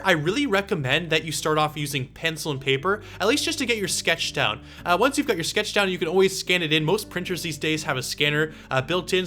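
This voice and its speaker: High, bright-voiced eighteen-year-old man. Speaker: video creator answering viewer questions. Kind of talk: casual Q and A talk to viewers.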